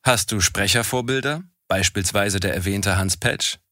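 A man's voice: fairly low pitch, normal speed, bright, forceful tone, no echo, clean studio sound. A man putting short, direct interview questions to a guest. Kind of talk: reading prepared questions.

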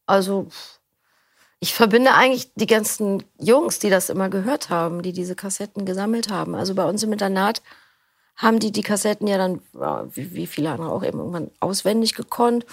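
Interview guest: middle-aged woman, gravelly, loose and chatty, dry studio mic. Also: mid-range voice, no echo. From Berlin, Germany. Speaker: interview guest, audiobook reader. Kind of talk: casual chat. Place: recording studio.

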